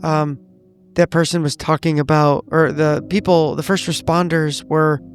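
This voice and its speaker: A man playing a tabletop roleplaying game, improvising in-character talk with careful, measured wording. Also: a little high, level tone, soft background music.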